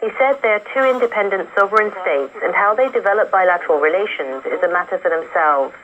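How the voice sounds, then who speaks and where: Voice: mid-range voice, fast. Newsreader on noisy, tinny shortwave radio. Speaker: news reader. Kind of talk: scripted news bulletin reading. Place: radio studio, heard over shortwave.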